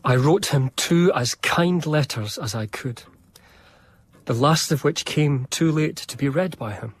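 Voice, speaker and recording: An actor playing a devoted, melancholy eighteenth-century gentleman biographer, narrating in earnest, literary first person. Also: fairly high pitch, unhurried, husky. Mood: grieving.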